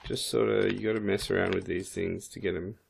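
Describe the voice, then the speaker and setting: Croaky man, very low voice, casual tutorial narration, keyboard clicks, faint noise. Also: little pitch movement, brisk. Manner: casual, thinking aloud while working. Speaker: 3D modeling instructor. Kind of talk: screen-recorded software tutorial.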